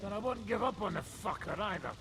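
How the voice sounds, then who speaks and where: An actor playing a gruff, foul-mouthed medieval nobleman in a video game, gravelly, blunt and sardonic. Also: high voice, expressive, fast, fairly bright tone, a little hiss or hum, exaggerated voice. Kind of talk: dramatic video game dialogue.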